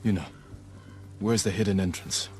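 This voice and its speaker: Man acting low-voiced, resolute samurai lord. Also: a little mellow, a little hiss or hum, natural voice. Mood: measured, steady. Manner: measured, terse, earnest. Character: resolute young male samurai lord, calm and commanding. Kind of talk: video game cutscene acting. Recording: dramatic music behind the dialogue.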